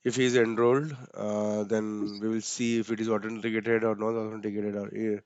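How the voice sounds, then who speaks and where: A man with an Indian accent walks through software settings step by step. He talks casually, with many fillers and a frequent "right?" at the end of his points. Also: fairly low pitch, flat delivery, fairly quick, clear, resonant tone, dry recording. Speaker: instructor.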